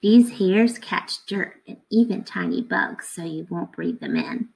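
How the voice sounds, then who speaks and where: A woman in her thirties reading a picture book aloud to children like a teacher, expressive and instructive, putting questions to her listeners. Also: medium pitch, creaky, poor audio.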